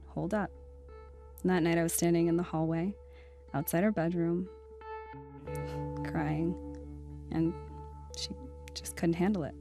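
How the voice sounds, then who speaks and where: A woman's voice: fairly low pitch, creaky. A woman acting in an audio drama as an addicted daughter, guarded and confessional about a troubled childhood.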